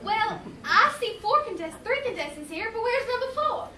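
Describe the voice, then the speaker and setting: A woman playing a folksy, excitable young shepherdess who exclaims in quaint homespun phrases on stage. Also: very high voice, normal speed, slight background noise, exaggerated voice. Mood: sassy. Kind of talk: youth stage comedy acting. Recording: echoey hall from far off.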